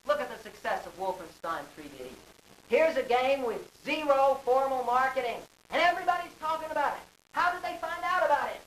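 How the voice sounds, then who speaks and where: A man's voice: extremely high pitch, pushed and bright, recorded from a distance. A man in his forties giving a theatrical conference talk, with dramatic, emphatic phrasing and rhetorical questions. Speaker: conference speaker, game designer. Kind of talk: theatrical lecture to an audience. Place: carpeted hotel conference room. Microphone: distant camera microphone. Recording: hissy, echoey camcorder recording from afar.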